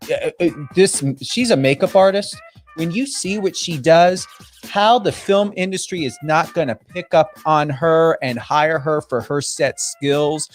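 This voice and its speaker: Brash New Jersey radio host, music behind. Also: male voice, mid-range voice. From New Jersey, United States. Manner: emphatic, brash, swears freely, repeats himself. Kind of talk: hyped radio guest introduction.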